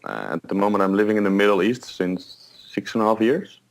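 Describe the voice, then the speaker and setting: Man, lowish voice. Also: a little fast, creaky, low-quality recording. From Netherlands. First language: Dutch. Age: late 20s. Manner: relaxed, explains step by step with self-corrections. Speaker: airline pilot guest. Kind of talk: casual interview.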